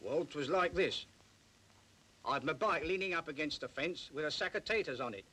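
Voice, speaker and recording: Labourer, defensive, grumbling, highish forceful voice, 1940s film drama. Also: male voice, expressive, moderate pace, husky, full character voice, poor audio. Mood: grudging, defensive. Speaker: actor in a film. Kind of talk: 1940s British film acting.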